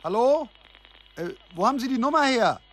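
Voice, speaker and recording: A man's voice: extremely high pitch, animated, normal speed, fairly bright tone, exaggerated voice, rough recording. An actor playing a gullible, defensive man in a naturalistic radio drama, speaking colloquially and impatiently. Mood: flustered. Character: gullible, defensive man tempted by easy money.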